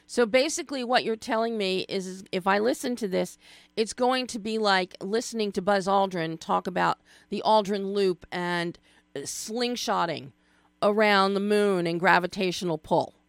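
Middle-aged woman radio host, gravelly voice, playful and chatty, dry close sound. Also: medium pitch, normal speed, dry recording. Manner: playful, chatty, with filler words and side remarks. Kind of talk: casual radio interview.

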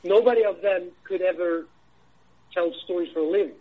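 Icelandic man, film director, slightly high voice, halting with restarts, muffled lo-fi sound. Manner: halting, many restarts and fillers. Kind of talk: interview answers.

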